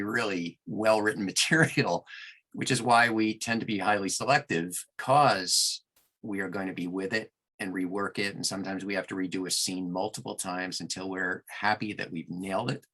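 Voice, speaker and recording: Male interview guest, lower register, clear forward brightness, deliberate explainer talking shop. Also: rough recording. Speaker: audiobook narrator and producer, podcast guest. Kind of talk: conversational interview answers.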